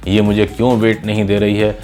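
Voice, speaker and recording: A man's voice: on the low side, completely flat delivery, fairly quick, mellow, soft background music. A man narrating in a conversational, explanatory teacher's style, mixing in English words as he tells a moral story and gives advice.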